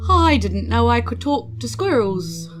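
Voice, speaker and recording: A woman's voice: fairly high pitch, sing-song, unhurried. A woman with a New Zealand accent casually improvising her part as a player in a tabletop game. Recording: music clearly playing behind the voice. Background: background music.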